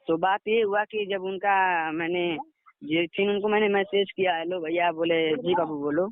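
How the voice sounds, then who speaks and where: High-pitched young man on a muffled phone call. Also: steady tone, normal speed, no echo. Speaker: interviewee, aspiring singer. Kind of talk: recounting events in a phone conversation.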